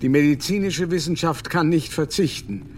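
A man voices a pompous English Jesuit doctor in a radio play, grandly lecturing simple folk. He keeps fumbling for the right German word as he holds forth. His voice sits slightly high for a man, with a faint hiss behind it. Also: normal speed, a little mellow, natural voice. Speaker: Jesuit scholar.

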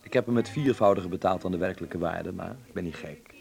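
A man's voice: low-pitched, fairly quick, gravelly, a little mellow, faint music behind. A man in a Dutch radio play, acting a defiant but affectionate young stage performer with an earnest, theatrical manner. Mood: casual, assured. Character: defiant, affectionate young operetta actor living with his mother.

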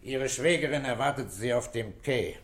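A man playing a calm, middle-aged police inspector in a 1960s German radio drama, questioning in terse, measured phrases. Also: medium pitch, breathy edge, lightly acted. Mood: calm, matter-of-fact.

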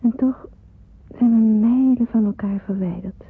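An actress playing an earnest, devout young woman in wartime hiding in a radio drama, with a husky, breathy voice. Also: a little high, a little slow, heavily acted. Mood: devout, solemn. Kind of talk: radio drama acting with narrated monologue. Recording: muffled, phone-like radio play recording.

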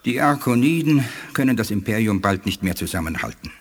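An actor playing a dignified, shrewd alien scientist in a 1980s German sci-fi radio play, speaking in a measured, formal, didactic way. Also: male voice, fairly low pitch, sing-song, breathy edge, heavily acted. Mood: solemn, persuasive.

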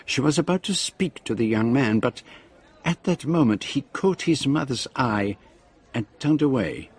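An actor playing an observant gentleman detective who narrates a radio drama deliberately, in an ominous storytelling manner. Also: medium pitch, animated, slightly rough. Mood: grave, ominous.

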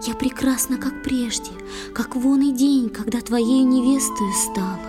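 A ghostly female water spirit, sorrowful and tender. She speaks verse slowly in a hushed, whispery voice, as in a theatrical audio play, with music clearly heard behind her. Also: high-pitched.

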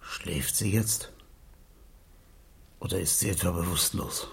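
A protective uncle in a radio mystery. His voice is low and husky. He speaks in a gentle, paternal, affectionate way. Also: takes it slowly, heavily acted. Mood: concerned. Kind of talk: German radio crime drama acting.

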